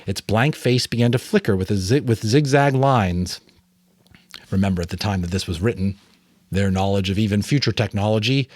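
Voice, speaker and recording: A man narrating a novel aloud as a dramatic, expressive reading. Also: lowish voice, no room sound, studio quality. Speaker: audiobook narrator and voice actor. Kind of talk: dramatic story reading with asides.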